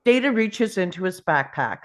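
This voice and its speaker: A woman's voice: fairly low pitch, big pitch swings, normal speed. A woman reading out the stage directions as narrator at a table read of a film script.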